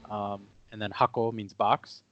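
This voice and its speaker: A young man co-hosting a podcast, casually explaining things step by step with lots of fillers and pauses. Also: fairly low pitch, level tone, dull audio. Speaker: podcast co-host. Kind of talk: casual conversation.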